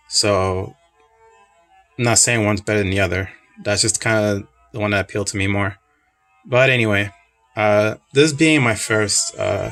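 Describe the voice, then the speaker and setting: Low, gravelly-voiced man, calm and rambling, reviewing a collectible; faint classical music under him. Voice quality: calming voice. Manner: soft-spoken, rambling with fillers. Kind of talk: casual product review.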